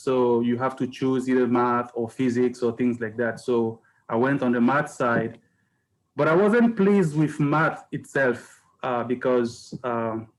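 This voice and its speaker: A man's voice: mid-range voice, poor audio. A man in his fifties, a scientist introducing himself in a webinar over a video call, explaining in a good-humored way. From Cameroon. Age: 50s. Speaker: scientist panelist.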